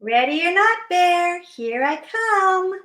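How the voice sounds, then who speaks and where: Woman playing a high-pitched playful bear. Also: animated, bright, forceful tone, heavily acted. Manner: simple slow child-friendly exclaiming. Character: cheerful, playful male bear character, easily startled. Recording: echoey room.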